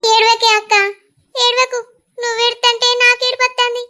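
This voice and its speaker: A woman's voice: very high-pitched, normal speed, pushed and bright. A woman with a Telangana accent acting an affectionate younger sister in a village family drama, with a soothing, pleading way of talking. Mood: pleading, tender.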